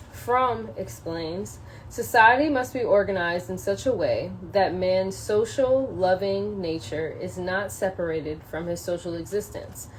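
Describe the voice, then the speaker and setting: A woman on a casual solo livestream, halting and thinking aloud as she chats and reads from a book. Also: medium pitch, rough recording. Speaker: social media live streamer. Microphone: phone propped up in a window. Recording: clear background noise, ambient sound.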